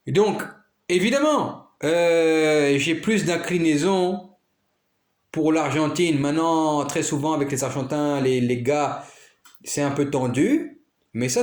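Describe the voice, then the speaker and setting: A man's voice: fairly high pitch, echoey room. A man talking in a rambling monologue, repeating himself and checking that the listener follows. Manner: rambling, repeats himself, keeps asking "you understand?". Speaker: vlogger.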